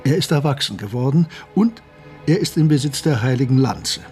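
An actor playing a reflective, betrayed older man in a radio drama, telling his story in a measured, literary way. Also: mid-range voice, lively intonation, a little mellow, soft background music, close to an everyday voice. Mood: solemn, rapt. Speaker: narrator and lead character. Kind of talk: German radio drama monologue and dialogue.

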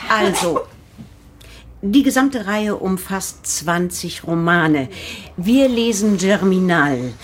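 An actress playing a stern, harried literature teacher in a radio drama, instructive and matter-of-fact. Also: female voice, fairly low pitch, animated, slow. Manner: instructive, matter-of-fact, calling class to order. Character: stern, harried female schoolteacher of German literature.

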